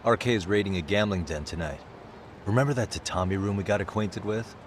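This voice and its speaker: A man playing a cool, polite investigator in a video game, speaking in a measured, questioning way. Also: on the low side, noisy background, close to an everyday voice. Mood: curious, composed. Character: cool, polite male investigator, composed and probing. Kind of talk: video game cutscene acting.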